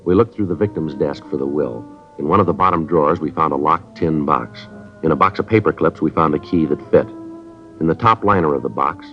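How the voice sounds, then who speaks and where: An actor playing a terse police sergeant who questions witnesses and narrates the case in a clipped, matter-of-fact way, in a 1950s radio drama. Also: male voice, rumbling low, fairly bright tone. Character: terse police sergeant, middle-aged man, dry and businesslike. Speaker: detective and narrator. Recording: dull lo-fi old radio recording.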